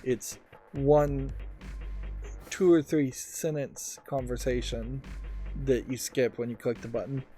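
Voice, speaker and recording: A man hosting a let's play, giving unscripted gameplay commentary in a halting, thinking-aloud manner. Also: mid-range voice, fairly even intonation, warm tone. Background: faint game music.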